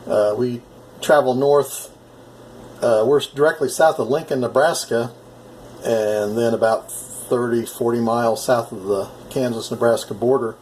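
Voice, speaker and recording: Halting American man over faint background noise. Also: medium pitch, steady tone, a little mellow, rough recording. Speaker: amateur radio operator. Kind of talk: casual ham radio conversation.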